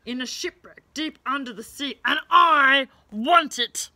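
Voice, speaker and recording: A woman voicing a loud, greedy male pirate T-Rex villain in a storybook reading, booming and shouting. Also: unhurried, no echo, heavily acted. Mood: menacing.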